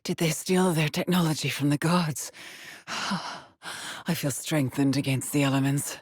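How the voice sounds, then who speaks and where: Slow-spoken haunted woman astronaut. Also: low voice, breathy edge, dry recording, clean studio sound. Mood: tense, introspective. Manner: slow, measured, brooding delivery with pauses. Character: haunted, driven female astronaut explorer, weary and introspective. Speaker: video game voice actress. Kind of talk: dramatic game monologue, solitary log recordings.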